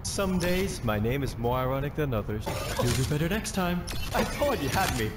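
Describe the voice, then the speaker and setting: An actor playing a suave, politely ironic young healer in a video game. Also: male voice, medium pitch, sing-song, moderate pace, close to an everyday voice. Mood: wry. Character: suave, gently sardonic young male healer. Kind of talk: video game hero voice lines. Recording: echoey, loud music behind.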